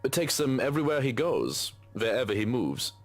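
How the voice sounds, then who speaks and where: An actor playing a fussy, dry German butler with formal, precise speech in a visual novel game. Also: male voice, medium pitch, sing-song, normal speed, faint music behind.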